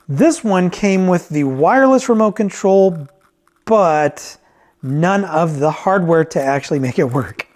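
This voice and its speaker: Higher-voiced man giving a casual review. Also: expressive, measured pace, darkish tone. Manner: conversational, thinking aloud, checking in with "right?". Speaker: vintage hi-fi reviewer and repairer. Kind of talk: casual off-the-cuff product review.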